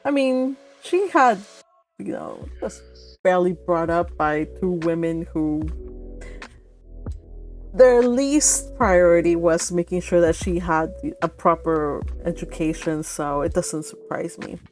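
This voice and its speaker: A woman's voice: fairly low pitch, slow pace, darkish tone, soft background music. A young woman from Mexico hosting a book club, chatty and laughing often, with lots of fillers. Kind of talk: casual chat and reading aloud.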